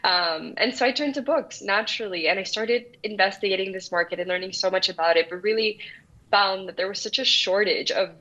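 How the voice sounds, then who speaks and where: A woman's voice: mid-range voice, a little fast, bright, forceful tone. A woman from El Salvador, in her thirties, answering interview questions earnestly with frequent restarts. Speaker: guest founder. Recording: dull lo-fi sound.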